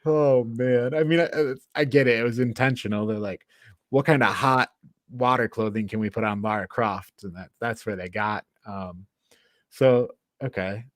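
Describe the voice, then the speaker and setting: A man's voice: medium pitch, steady tone, moderate pace, no echo. A man chats casually as a podcast co-host reviewing a film. His delivery is mocking and exasperated, in a middle-range voice with a close, dry sound. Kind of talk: casual movie review chat.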